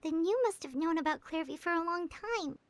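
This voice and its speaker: Game sidekick: tiny curious girl fairy, extremely high squeaky childlike voice, earnest, dry close recording. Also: a little fast, dry recording. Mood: curious, puzzled. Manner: inquisitive, earnest. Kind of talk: cartoonish video game voice acting.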